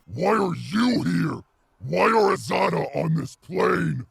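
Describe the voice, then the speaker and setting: An enormous pit fiend, with an extremely husky, gravel-filled voice that swings widely in pitch. He is arrogant and sneering, a bellowing villain from a fantasy audio drama. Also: animated, slow pace, no echo, studio quality, exaggerated voice. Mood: shocked, bellowing. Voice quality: very raspy, gravelly.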